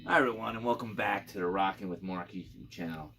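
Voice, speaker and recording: Low-voiced man with noisy background. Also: fast talker. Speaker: YouTube channel host. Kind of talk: casual gear overview for guitarists.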